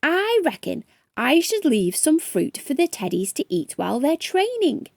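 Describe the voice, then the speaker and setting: A woman's voice: high voice, very animated, a little slow, gravelly, no room sound, pitched above the performer's own voice, heavily acted. A woman voicing an earnest young boy in a storybook, easily awed and full of eager questions. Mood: excited, earnest.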